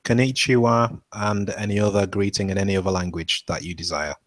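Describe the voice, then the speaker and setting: A man's voice: fairly low pitch, steady tone, fairly quick, dry recording. A man co-hosting a podcast, giving his opinions in a loose, conversational way. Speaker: podcast co-host. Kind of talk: casual podcast chat.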